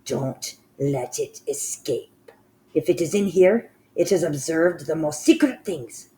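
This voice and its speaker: A woman's voice: sits low, slow pace, mellow, slight background noise. A woman voicing a ruthless female witch leader who barks harsh orders. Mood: commanding. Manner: harsh, commanding, screaming orders.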